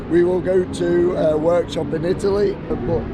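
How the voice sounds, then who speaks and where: A hoarse man from a race team who has lost his voice, chatting upbeat. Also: high-pitched, steady tone, measured pace. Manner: upbeat, chatty, plainly pleased. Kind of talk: casual vlog wrap-up. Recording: loud outdoor vehicle noise. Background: traffic and vehicle noise.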